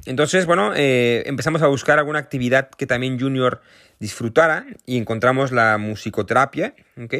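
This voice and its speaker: A man in his thirties with a Mexican accent, speaking in a recorded video message as a parent and association founder, earnest and thoughtful, pausing often to find his words. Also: mid-range voice, a bit bright, no echo, clean studio sound. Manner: earnest, thoughtful, with frequent hesitations.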